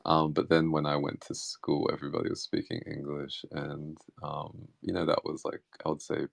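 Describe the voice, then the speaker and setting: A man whose first language is Hakka Chinese talks reflectively in an online chat, pausing and restarting often. His voice is extremely deep and crackles with fry. The sound is dry and close. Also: completely flat delivery, no room sound. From Australia. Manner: halting, many fillers and restarts. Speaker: interview guest. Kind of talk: casual reflective conversation.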